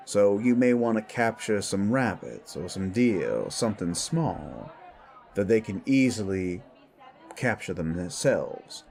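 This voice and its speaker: An older werewolf mentor, played by a man, speaking slowly with a low, gravelly creak. He is patient and frank, explaining things in measured steps, as in an audio drama. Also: sing-song, a little mellow, full character voice. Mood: patient, instructive. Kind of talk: scripted audio drama dialogue.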